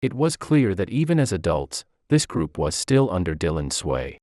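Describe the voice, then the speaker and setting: A male narrator reads a first-person story from a script. His voice is low, with lively movement in pitch, and the recording is very dry and close, free of room echo. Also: normal speed.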